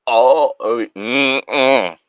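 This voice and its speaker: A man with a mid-pitched voice works as a laconic co-host. He banters casually and reads aloud in a halting way. The recording is dry and a little dull and lo-fi. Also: a bit bright, clear, resonant tone, no echo. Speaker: co-host reading a story aloud. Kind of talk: casual banter and story reading.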